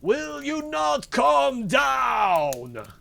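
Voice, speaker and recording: A man's voice: lowish voice, close to an everyday voice. A man performs a menacing, tyrannical husband in a storybook tale. He is stern and commanding, stretching out his words with heavy, merciless emphasis. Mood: bellowing. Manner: commanding, drawn-out emphatic shouts. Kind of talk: dramatic fairy-tale storybook reading.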